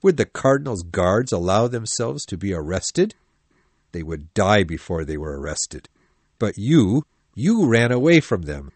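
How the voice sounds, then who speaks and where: A man playing a stern, proud, hot-tempered older captain of musketeers in an audiobook, with a forceful, commanding manner. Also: lowish voice, sing-song, measured pace, husky, dry recording, full character voice. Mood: indignant. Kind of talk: audiobook dialogue acting.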